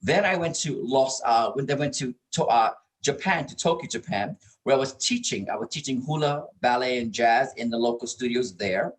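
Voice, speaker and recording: A middle-aged or older man from Hawaii telling stories as an interview guest, expressive and given to repeating words for emphasis. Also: medium pitch, normal speed, few pauses, poor audio. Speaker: interview guest, performer and producer. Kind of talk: casual interview storytelling.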